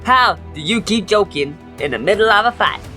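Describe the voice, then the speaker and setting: Eager, earnest young superhero boy, played by a woman, lively swinging intonation, for a kids' storybook with faint music. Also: mid-range voice, moderate pace, voice pushed down. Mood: worried. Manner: excitable, earnest.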